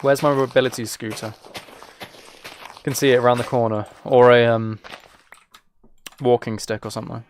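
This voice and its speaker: A man's voice: medium pitch, steady tone, moderate pace, long pauses, slight background noise. A twenty-year-old man chatting casually as he streams a game, rambling along in a joking, teasing way. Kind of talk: casual chat while playing a game.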